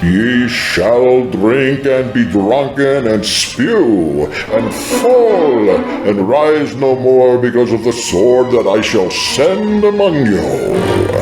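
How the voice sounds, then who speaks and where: A man voicing God in a dramatized vision, pronouncing wrathful judgment in solemn, drawn-out archaic proclamations. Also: mid-range voice, very animated, full character voice. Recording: echoing, music behind the voice. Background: music.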